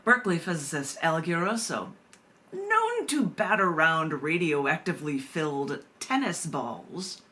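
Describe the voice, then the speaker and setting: Bright-voiced woman reading poetry live. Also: low-pitched, unhurried. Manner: animated, rambling, self-amused. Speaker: poet and open mic host. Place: live open mic reading before listeners.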